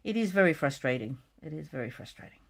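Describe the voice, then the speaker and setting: Lady doing a live card reading stream, lowish voice, gentle n musing, kinda chatty, repeats herself. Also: lively intonation, fast, creaky. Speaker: psychic card reader hosting a livestream. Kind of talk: casual live chat, reading out comments.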